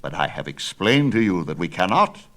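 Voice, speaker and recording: A man voicing a cautious old craftsman and fond father, declaiming gravely in a dramatic storybook reading. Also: low-pitched, sing-song, normal speed, fairly bright tone, a little hiss or hum, full character voice. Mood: grave, resigned.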